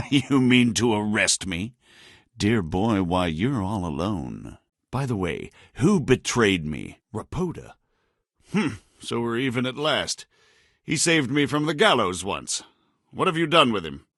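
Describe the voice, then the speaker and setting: Casting: haughty medieval baron gone crooked, game dialogue; lower register, lively swings in pitch, formal and sardonic. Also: male voice, a little slow, no room sound, clean studio sound, exaggerated voice. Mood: wry, defiant. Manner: haughty, wry, condescending, speaks formally. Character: proud, roguish medieval knight-baron turned counterfeiter. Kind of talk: video game character dialogue.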